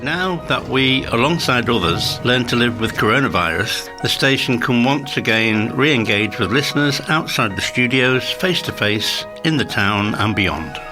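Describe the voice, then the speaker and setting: A man's voice: mid-range voice, lively intonation, moderate pace, hardly any pauses. Male promo narrator with instrumental music.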